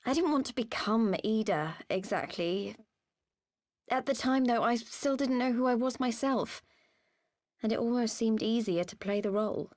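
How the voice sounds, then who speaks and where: Earnest young woman fighter, high voice, acted. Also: moderate pace, a little mellow, no echo, studio quality, close to an everyday voice. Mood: confessional, regretful. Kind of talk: video game cutscene voice acting.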